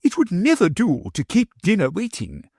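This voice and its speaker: A man's voice: sits high, huge pitch swings, moderate pace, vocal fry, a little mellow, breathy edge, no room sound, clean studio sound, voice pushed up, full character voice. A man reading an audiobook, voicing a fat, boastful little nobleman in a pompous, simpering manner.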